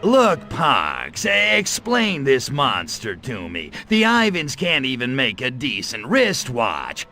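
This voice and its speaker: An actor playing a cocky, wisecracking alien invader in a cartoonish video game, with a sardonic, drawling delivery. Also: male voice, medium pitch, wildly swooping pitch, slow, few pauses, pushed and bright, soft background music, heavily acted. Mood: incredulous, impatient.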